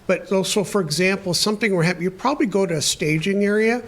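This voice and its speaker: A man's voice: high-pitched, quick pace. A man answering audience questions on a panel as an emergency preparedness trainer, explaining at length with many restarts.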